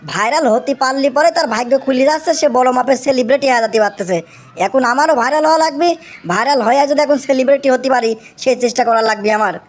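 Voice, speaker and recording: A man with a Bangladeshi accent voicing an excitable young cartoon bachelor desperate for fame, whiny and pleading, repeating himself emphatically. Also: extremely high pitch, quick pace. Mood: excited scheming. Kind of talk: exaggerated comic cartoon acting.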